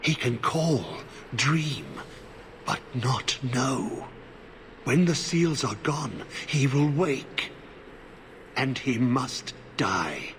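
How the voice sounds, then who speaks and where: Slow, creaky, half-mad corrupted warden. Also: male voice, mid-range voice, animated, fairly bright tone, slight background noise, exaggerated voice. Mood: bleak, tormented. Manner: fragmented, cryptic, halting utterances. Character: half-mad corrupted old Grey Warden, haunted, cryptic, tormented. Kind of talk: video game cutscene acting.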